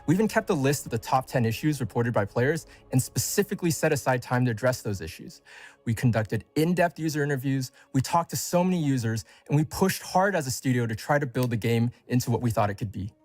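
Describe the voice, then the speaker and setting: A man's voice: medium pitch, animated, fast talker, a little mellow, faint music behind. A man narrating a scripted retrospective as a game developer, speaking in prepared, complete sentences.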